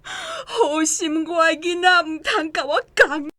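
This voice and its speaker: High, wailing, desperate Taiwanese mother. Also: very animated, bright, forceful tone, heavily acted. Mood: tearful pleading. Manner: wailing, begging, drawn-out words. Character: terrified, pleading mother of a kidnapped child. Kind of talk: radio drama acting.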